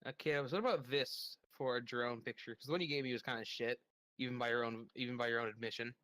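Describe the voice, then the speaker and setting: A man's voice: mid-range voice, steady tone, fast, sharp tone, no echo. A man running a tabletop game as game master, teasing his players in a pedantic, swearing way.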